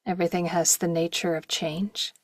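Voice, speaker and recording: A woman leading a guided meditation slowly and gently in short, soft phrases, with a breathy voice that at times nears a whisper. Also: fairly low pitch, flat delivery, no echo. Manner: slow, gentle, with long pauses and short phrases.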